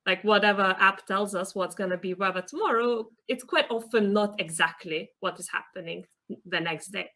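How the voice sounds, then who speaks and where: A woman's voice: mid-range voice, normal speed, pushed and bright. A young woman from Lithuania, a PhD student, explaining her research earnestly as an interview guest. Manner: earnest, explanatory, some hesitations.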